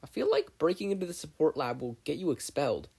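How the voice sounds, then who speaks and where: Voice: mid-range voice, animated, fast talker, creaky, darkish tone, slightly rough, voice pushed up. A performer voicing an earnest, polite and slightly nervous teenage boy student in a story reading. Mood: eager, nervous.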